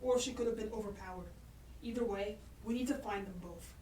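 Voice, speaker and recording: A woman's voice: mid-range voice, brisk, darkish tone, close to an everyday voice. Echoey, blunt actress as a female sheriff. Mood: weary, blunt. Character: hard-pressed female sheriff, blunt and weary. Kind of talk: audio drama acting. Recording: echoey, distant mic with hiss.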